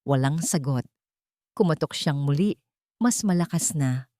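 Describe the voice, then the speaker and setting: A woman with a lowish voice narrates a scripted drama. Her phrasing is measured and dramatic, with deliberate pauses. The sound is close and dry, without room echo. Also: lively intonation, mellow. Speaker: storyteller, narrator.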